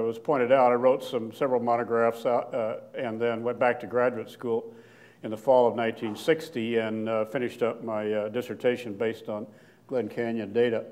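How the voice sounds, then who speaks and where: An older man giving a slide lecture in a hall, with a loud voice and frequent fillers and restarts. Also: medium pitch. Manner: loud, plain-spoken, halting with many fillers and restarts. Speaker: guest lecturer, archaeologist. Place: lecture hall with seated audience. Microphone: podium microphone, standing at lectern.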